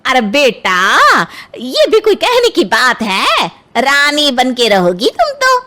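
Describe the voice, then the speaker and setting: A woman's voice: sits high, huge pitch swings, slow pace, bright, forceful tone, exaggerated voice. A woman voicing a bossy, effusive middle-aged aunt in a Hindi cartoon. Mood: effusive, welcoming. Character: bossy, meddling middle-aged Indian aunt arranging a match.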